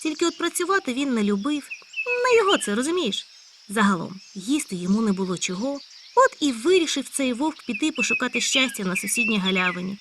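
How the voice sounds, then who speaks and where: A woman voicing an excitable, chatty male hare in a storybook tale, talking high and loud. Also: quick pace, background noise. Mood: eager. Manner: eager, exclaiming, high and loud. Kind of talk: storybook character voice.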